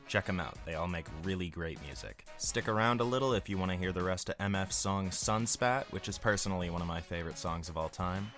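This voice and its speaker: Low voiced guy doing end credits, crisp bright tone, bit of music behind. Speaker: show creator reading end credits. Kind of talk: scripted narration, friendly sign-off.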